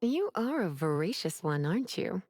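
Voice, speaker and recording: A woman's voice: on the low side, big pitch swings, darkish tone, a little hiss or hum. A woman playing a languid, self-assured witch librarian in a video game, speaking in a coy, teasing manner. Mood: coy, pleased. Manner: coy, teasing, unhurried sighs.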